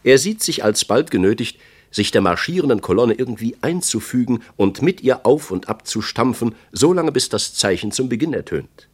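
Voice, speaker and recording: Animated, declamatory male eyewitness. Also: mid-range voice, moderate pace, breathy edge. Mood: stern, emphatic. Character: stern male eyewitness reporting in writing. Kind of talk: dramatic reading of a quoted report.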